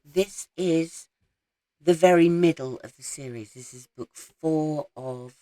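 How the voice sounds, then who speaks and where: A woman, croaky from a head cold, chatting casually about her week's reading and rambling with frequent ums. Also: sits low, slow, mellow, no room sound. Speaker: book vlogger. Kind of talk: casual reading wrap-up chat. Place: small garden shed.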